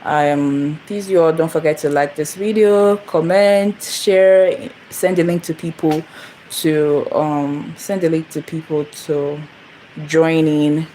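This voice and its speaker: Low-voiced young woman chatting on a livestream. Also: fairly even intonation, slow, mellow, a little hiss or hum, rough recording. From Nigeria. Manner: rambling, repeats herself, greets viewers. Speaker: channel host. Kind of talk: casual livestream chat. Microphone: phone camera.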